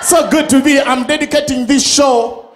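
A middle-aged man with a Ugandan accent doing a loud, playful stand-up set on a big studio stage with a handheld mic. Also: extremely high pitch, fairly even intonation, normal speed, slight background noise. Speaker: stand-up comedian. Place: large studio stage with live audience. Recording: live stage sound with crowd and music. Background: audience laughter and music.

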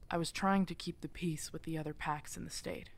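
A woman playing a guarded, weary werewolf hermit in an ASMR audio drama, speaking tersely and hesitantly with a creaky voice. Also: sits low, fairly even intonation, a little fast. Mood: guarded, confessional.

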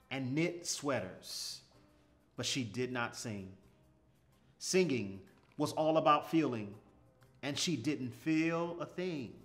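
A middle-aged man reading a children's storybook aloud with expressive, measured, dramatic phrasing and pauses. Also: fairly high pitch. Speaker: storybook reader. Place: small furnished indoor room, seated. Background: music.

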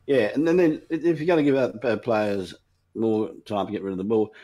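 A man's voice: fairly low pitch, normal speed, mellow. An Australian man talking sport in a casual chat, emphatic, with frequent restarts. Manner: emphatic, restarts often, chuckles while talking.

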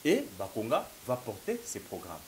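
A man's voice: medium pitch, huge pitch swings, moderate pace, darkish tone. Emphatic Congolese man with a cold. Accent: Congolese French. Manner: emphatic, gesturing, repeats "mon cher ami". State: has a cold. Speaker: journalist and political analyst. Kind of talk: political talk show debate. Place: TV studio. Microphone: lapel. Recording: faint hiss.

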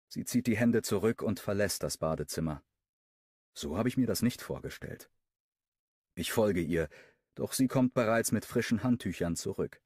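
A man narrating a first-person romance audiobook with an expressive delivery. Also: slightly rough, dry recording, clean studio sound. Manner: expressive, with wide pitch movement.

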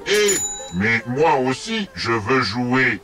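An actor voicing a friendly robot who wants to play, with slow, drawn-out delivery, in a preschool cartoon. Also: mid-range voice, sing-song. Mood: pleading. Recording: music under the voice.

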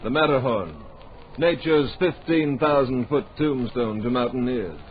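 A man's voice: mid-range voice, measured pace, natural voice. A man giving dramatic scripted narration for a radio drama in clipped, portentous phrases. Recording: dull, hissy old radio recording.

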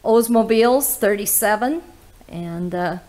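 A woman, hosting a casual auction walkthrough in an old barn full of cars, listing items with little "uh" pauses. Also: medium pitch, darkish tone. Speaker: auctioneer host giving a car walkthrough. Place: inside old barns and sheds full of cars.

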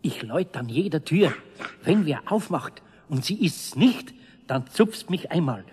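An actor playing a good-natured older master carpenter in a radio play, gruff but kindly and plain-spoken. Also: male voice, on the high side, expressive, measured pace, a little mellow. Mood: calm. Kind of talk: 1970s radio play acting.

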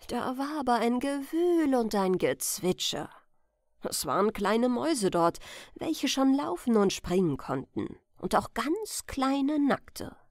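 A woman reads a scripted bedtime fairy tale. Her pitch is fairly high and moves with plenty of melody, and she takes her time with careful, expressive phrasing. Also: dry recording, studio quality. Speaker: storyteller.

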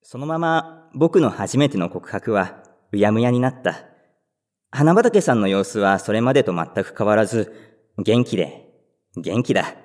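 A man's voice: fairly low pitch, expressive, measured pace, darkish tone. An actor playing a timid, over-considerate young male office worker in a voice drama, hesitant and prone to stammering. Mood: wry, resigned. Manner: hesitant, stammering when flustered. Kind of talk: Japanese voice drama, inner narration and dialogue.

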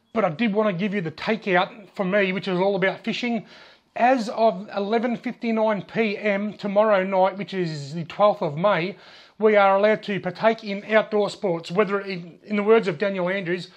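A chatty Australian man in his forties speaks straight to the camera in a fairly high voice, often stopping and restarting his sentences. He sounds like he is wearing a lapel mic in a small indoor shed. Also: dull audio. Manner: chatty, gestures with his hands, frequent restarts. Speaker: fishing channel host. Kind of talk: casual talk to camera.

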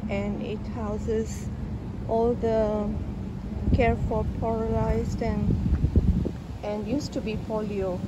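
Female tour vlogger, higher voice, slow explainer, phone audio with loud street noise. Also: level tone, dull audio. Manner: slow, explanatory, filming as she walks. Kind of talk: walking tour narration. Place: outdoors by a city river. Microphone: phone camera. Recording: loud outdoor traffic and boat noise. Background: city traffic and boats.

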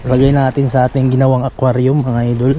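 A Filipino man on a sunny beach who fishes and talks to his viewers, excitable and repeating himself, recorded on a head-mounted action camera. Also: medium pitch, quick pace. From Philippines. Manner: excitable, repeats phrases, calls viewers "idol". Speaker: fishing vlogger. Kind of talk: casual commentary to viewers while fishing. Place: sunny sandy beach by the sea, outdoors. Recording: outdoor camera mic with wind and sea noise.

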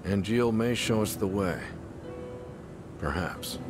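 A deep, unhurried weary man seeking redemption in a video game drama. He is grave and reflective, gently resolute, weighing each phrase with care. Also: expressive, over music. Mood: solemn, resolute. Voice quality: low, measured. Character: weary, remorseful former director turned into a clone, gently resolute.

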